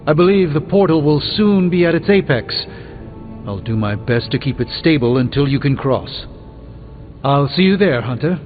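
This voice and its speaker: A learned middle-aged sorcerer played by a man speaks in composed, formal phrases for a video game. His voice sits a little high. It rings in a long hall reverb, thinly band-limited, with faint music behind. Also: expressive, phone-quality sound, natural voice. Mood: grave, instructive. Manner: precise, formal, measured diction. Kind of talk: video game character dialogue.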